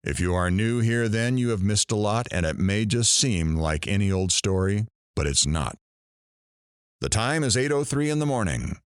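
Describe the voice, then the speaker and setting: Radio drama host, played by a man: deep voice, expressive swings, weary, reflective, theatrical and measured. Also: smooth, no room sound, studio quality, natural voice. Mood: solemn.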